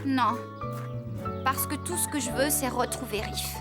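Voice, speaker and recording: A woman voicing a bossy, tidy and caring farmer in a children's cartoon, with a brisk, expressive manner. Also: sits high, over loud music. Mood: upset, downcast. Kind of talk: French children's cartoon dubbing.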